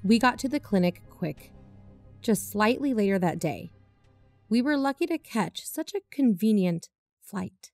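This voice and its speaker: An actress playing an earnest investigator who hosts a mystery podcast in an audio drama. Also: female voice, medium pitch, expressive, a little slow, darkish tone, no room sound, faint music behind. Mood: composed, narrating. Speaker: podcast host character and investigator. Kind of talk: audio drama narration and dialogue.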